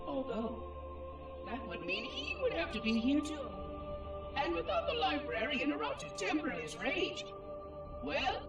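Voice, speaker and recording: A man's voice: very high voice, huge pitch swings, a little slow, heavily acted. A man voicing a fussy, aggrieved ancient robot caretaker in a game monologue, with prissy, theatrical, precise diction and synthetic processing. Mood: musing, wry. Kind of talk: dramatic game cutscene monologue. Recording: echoey, distant, loud music over the voice.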